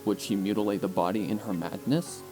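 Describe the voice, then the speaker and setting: A man's voice: on the low side, steady tone, a little fast. A man reading scripted story narration with an intense, theatrical delivery, as an audiobook narrator. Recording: music under the voice.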